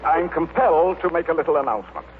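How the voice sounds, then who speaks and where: Pompous, animated businessman, played by a man. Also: on the high side, fast, natural voice. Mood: stiff suspicion. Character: pompous, indignant, hard-nosed businessman. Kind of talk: 1930s-40s radio acting. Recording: tinny, noisy old radio recording.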